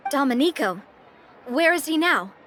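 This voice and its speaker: High, lively young lady boss. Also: moderate pace, a little hiss or hum. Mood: assured. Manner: poised, breezy, assured. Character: confident, genteel young lady boss of a syndicate. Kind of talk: video game voice acting.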